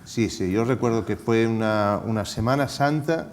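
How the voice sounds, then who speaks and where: A man's voice: lowish voice, normal speed. A man with a Catalan accent, a theatre director talking on a discussion panel, stumbling and restarting often. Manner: hesitant, many restarts and repeated words. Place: auditorium at a round table. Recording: reverberant hall.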